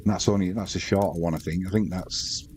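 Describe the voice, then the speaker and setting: A man's voice: sits low, fairly even intonation, a little fast, darkish tone, a little hiss or hum. A man with a Manx accent hosting a live sports stream, chatting casually and reading out viewer comments between bits of match commentary.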